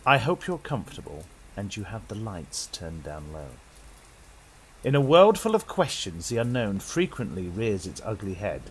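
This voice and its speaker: A young British man narrates a horror tale like an old radio host. His delivery is dramatic and animated, with deliberate pauses, over faint rain-like ambience. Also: lowish voice, slight background noise, low-quality recording.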